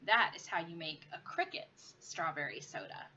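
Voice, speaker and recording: A woman's voice: on the low side, animated, moderate pace, pushed and bright. A woman, a teacher, reading a children's story aloud expressively. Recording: muffled lo-fi with clear background noise.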